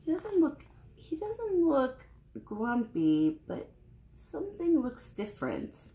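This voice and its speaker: Animated woman reading a picture book. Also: sits high, takes it slowly. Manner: conversational, expressive, addressing young listeners. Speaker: children's storyteller. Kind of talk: animated picture-book read-aloud with asides.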